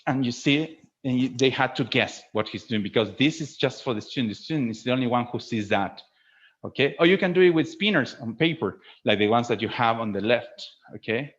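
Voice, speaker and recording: A man speaking as a teacher trainer in an informal instructional webinar, explaining in an animated way and often checking that listeners follow. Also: medium pitch, a little fast. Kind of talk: informal instructional presentation with acted demonstrations. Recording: dull lo-fi webinar audio.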